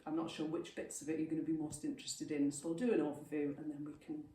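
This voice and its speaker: A Welsh woman in her thirties talks casually to a village group, chatty and self-deprecating. Her voice is low and mellow. She was recorded from some distance in a very echoey hall. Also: level tone, fast, a little hiss or hum. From Wales. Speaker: guest speaker. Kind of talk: informal talk to a local group.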